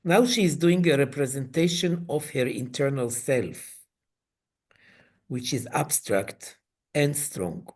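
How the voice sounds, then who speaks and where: A man leading an online coaching webinar, speaking in slow, halting phrases. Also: medium pitch, lively intonation. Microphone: video call.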